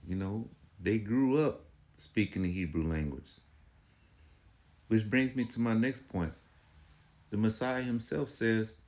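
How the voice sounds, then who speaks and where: Man, very low voice, slow pace, preachy, argumentative, repetitive fillers. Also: darkish tone. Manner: repetitive, filler-heavy, rhetorical questions. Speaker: religious commentator. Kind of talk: casual commentary on a clip.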